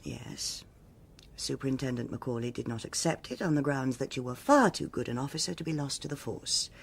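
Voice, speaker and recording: An actress playing a cool, exacting police superintendent in a radio drama, with a formal, cutting, authoritative manner. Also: female voice, very deep voice, lively intonation, a little fast, creaky, breathy edge, full character voice. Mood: stern.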